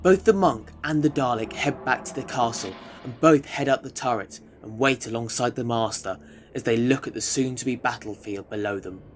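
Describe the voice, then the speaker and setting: A man with a medium-pitched voice narrates an audio drama from a script. Faint ambient noise sits behind him. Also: moderate pace.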